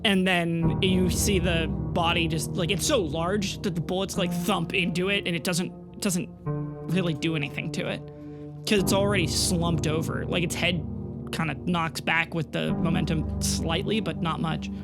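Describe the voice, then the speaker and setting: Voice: medium pitch, steady tone, normal speed. Conversational game master over background music. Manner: conversational, descriptive, casual swearing. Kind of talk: casual narration of tabletop game audio drama.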